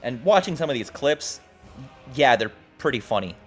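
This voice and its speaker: A man's voice: medium pitch, very animated, moderate pace. A man hosting a video essay, narrating from a script with casual, profane asides in an irreverent, jokey, emphatic way. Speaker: host of a video essay show. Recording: music playing behind the voice. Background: background music.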